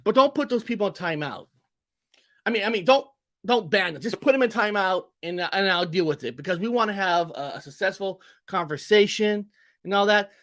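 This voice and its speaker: Guy hosting solo, kinda hoarse, rambles and repeats himself, voice bounces up and down a lot. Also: high-pitched, animated, fairly quick, fairly bright tone. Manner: rambling, repeats words, talks straight to viewers. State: voice going hoarse, getting sick. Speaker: show host. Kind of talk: casual solo podcast monologue.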